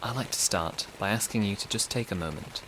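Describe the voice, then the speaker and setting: A man hosting a guided sleep meditation podcast, giving soothing, gentle, unhurried instructions. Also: lowish voice, a little hiss or hum, low-quality recording.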